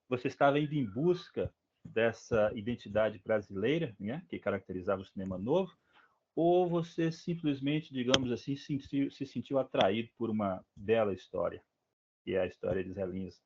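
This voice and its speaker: A man's voice: mid-range voice, normal speed, darkish tone. A man in the audience asking a question politely and deferentially. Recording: dull lo-fi recording.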